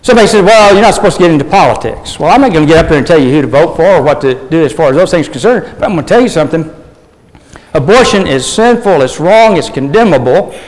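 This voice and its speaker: Throat-clearing preacher in an echoing hall. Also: male voice, on the high side, expressive. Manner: measured, with frequent throat clearing. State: losing his voice. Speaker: pastor. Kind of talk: sermon.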